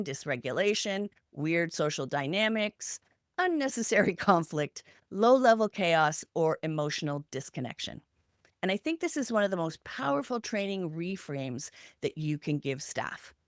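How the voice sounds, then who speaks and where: A woman podcast host with a slightly low voice and animated intonation. She presents prepared points with deliberate emphasis, item by item. The recording is dry, with little room sound. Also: dry recording. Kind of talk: podcast talk from prepared notes.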